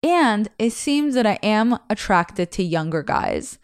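A woman's voice: mid-range voice, sing-song, measured pace, clean tone, dry recording, clean studio sound. A young woman whose first language is Russian, a podcast host talking candidly and chattily about herself, rambling with restarts. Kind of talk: casual confessional monologue.

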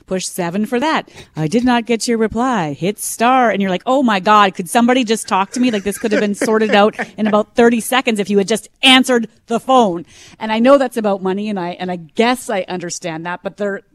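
A woman co-hosting a morning radio show, talking animatedly and acting out quoted lines in her own voice. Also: medium pitch. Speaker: radio co-host. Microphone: studio mic, separate room.

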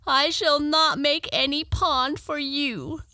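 A woman's voice: high-pitched, slow, bright, forceful tone, no room sound, pitched above the performer's own voice, full character voice. A woman voicing a petulant, whiny, tearful little girl in a children's story. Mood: angry, upset.

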